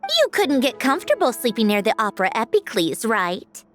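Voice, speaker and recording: Aloof, sardonic young woman, lively inflection. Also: a little high, normal speed. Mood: serious, explanatory. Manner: wry, dismissive, matter-of-fact. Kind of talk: video game voice acting. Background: music.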